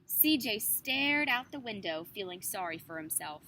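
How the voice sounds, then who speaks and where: A young woman narrating a children's picture book with measured, careful phrasing. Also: medium pitch, lively intonation, bright, forceful tone. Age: young adult. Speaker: student storybook reader. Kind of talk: scripted children's story narration. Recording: noticeable background noise.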